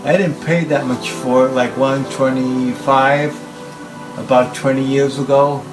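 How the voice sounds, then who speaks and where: A man giving an informal, halting talk about equipment as a hobbyist presenter, speaking in short phrases. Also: mid-range voice, little pitch movement, over music, low-quality recording. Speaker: hobbyist presenter showing his tape machines.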